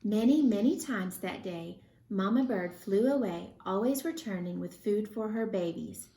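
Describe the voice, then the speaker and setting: A woman reads a children's story aloud. Her narration is expressive and takes its time, with slow phrasing. The sound is roomy and echoing. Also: medium pitch. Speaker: storybook reader.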